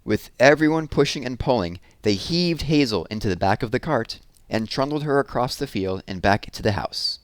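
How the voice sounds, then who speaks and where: A man's voice: medium pitch, lively intonation, normal speed, few pauses, no room sound. A man reading storybooks aloud for children in a storytelling style. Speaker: storybook reader.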